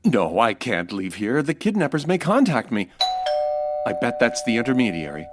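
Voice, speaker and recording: A man's voice: medium pitch, very animated, normal speed. A man playing an earnest, anxious and lovestruck society groom in a comedic radio-style drama. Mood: worried. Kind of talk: comedic old-time radio drama acting.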